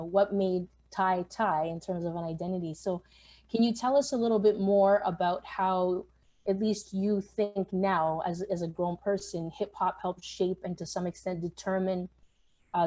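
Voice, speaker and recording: Woman, soft dark tone, lowish pitch, measured conversational interviewer. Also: low-quality recording. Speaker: interviewer, moderator. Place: live online video call.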